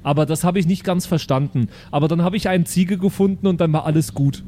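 A man's voice: fairly high pitch, steady tone, fast, warm tone, slight background noise, natural voice. A man voicing a naive, dim-witted, chatty son from the future, with childlike slangy talk full of verbal tics, in an improvised audio roleplay.

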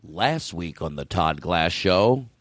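A man's voice: sits low, expressive, slow. Comedy podcast host, a man, goofy and earnest by turns, casual delivery. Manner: goofy, playful, earnest asides.

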